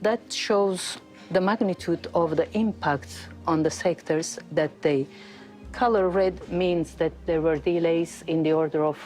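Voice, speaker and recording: A middle-aged woman explaining as an interviewed operations expert, pausing mid-sentence. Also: on the low side, a little mellow, faint music behind. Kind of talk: explaining at a display.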